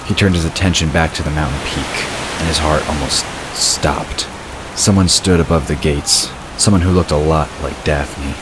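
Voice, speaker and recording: A man narrating a fantasy story from a script in a measured, dramatic storytelling manner. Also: rumbling low, fairly even intonation, background noise.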